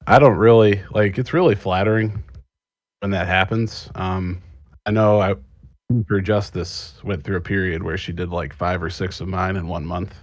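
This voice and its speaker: A man's voice: low voice, dry recording. A man talking casually as a panelist in a podcast discussion. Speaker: panelist, script writer.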